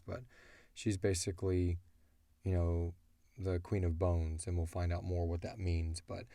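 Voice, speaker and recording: Middle-aged male host for informal Q&A, very low and dark in tone. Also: no echo. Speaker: audio drama creator and show host. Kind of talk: casual Q&A chat about a show.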